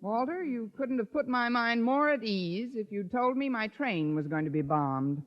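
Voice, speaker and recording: An actress playing a wisecracking, sardonic high school English teacher in a 1950s radio sitcom, with dry, crisp comic timing. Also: female voice, lively intonation, normal speed, heavy background noise, close to an everyday voice. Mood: dismayed. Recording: dull lo-fi 1950s radio recording.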